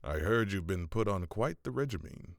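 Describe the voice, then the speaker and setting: An actor playing a probing noble visitor in an audio drama, drily condescending and curious. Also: male voice, deep voice, expressive, moderate pace, vocal fry, no echo, clean studio sound. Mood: dry curiosity.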